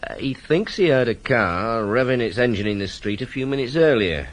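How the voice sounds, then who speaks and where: A man's voice: medium pitch, animated, normal speed, slight background noise, close to an everyday voice. An actor playing a dutiful police sergeant, a British man with a plain, literal, deferential manner, in radio drama style. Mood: matter-of-fact. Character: dutiful, matter-of-fact male police sergeant, deferential to his boss.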